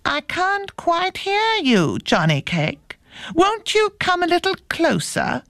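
A woman voicing a sly, lazy male fox in a storybook, with a sharp voice and a wheedling manner. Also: very high voice, huge pitch swings, slow, no echo, studio quality, pitched above the performer's own voice, exaggerated voice. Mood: sly, coaxing.

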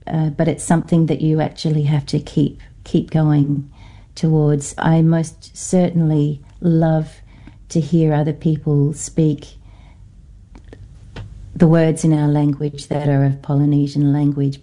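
Low, mellow Norfolk Island woman. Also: flat delivery, a little slow. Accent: Norfolk Island, Australian-influenced. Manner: thoughtful, hesitant, many fillers. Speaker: guest, academic. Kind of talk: radio interview.

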